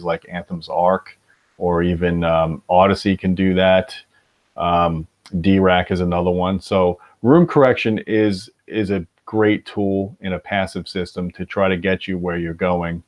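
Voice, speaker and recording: A man hosting an informal technical discussion in a conversational, even-handed way. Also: deep voice. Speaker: host.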